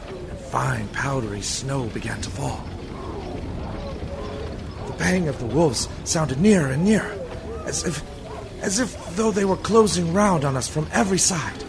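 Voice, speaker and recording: A man playing an earnest, uneasy young lawyer's clerk in a radio play, grave and dramatic, with a gravelly creak. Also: a little high. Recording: noisy background behind voice.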